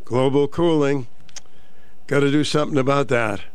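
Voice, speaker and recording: A man's voice: mid-range voice, normal speed, clean tone, no echo. Older male radio host, nasal with a cold, easygoing and rambling, dry studio sound. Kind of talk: casual radio chat. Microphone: studio microphone.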